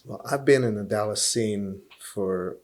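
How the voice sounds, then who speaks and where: Low-voiced Hungarian man, earnest. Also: measured pace. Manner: earnest, repeats words as he gathers thoughts. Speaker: podcast guest, blues musician. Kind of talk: relaxed interview storytelling.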